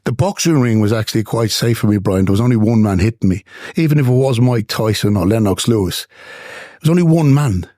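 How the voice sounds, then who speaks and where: An older former boxer from Dublin with an Irish accent, telling stories emphatically in an interview. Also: male voice, fairly low pitch, a little fast, dark tone, dry recording, studio quality. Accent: Dublin Irish with English traces. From Ireland. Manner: emphatic, repetitive storytelling.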